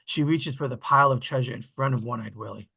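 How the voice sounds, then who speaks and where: A man's voice: mid-range voice, fast talker, vocal fry, bright, forceful tone, slightly rough. A man reading screenplay stage directions as scripted narration at a table read.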